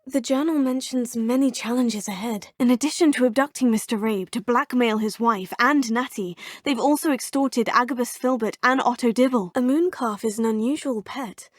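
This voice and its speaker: A woman's voice: fairly high pitch, normal speed, hardly any pauses, no echo, clean studio sound, natural voice. An actress voicing a polite, curious young female witch student in a video game, speaking in a formal, inquisitive way. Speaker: player character. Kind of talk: video game dialogue lines.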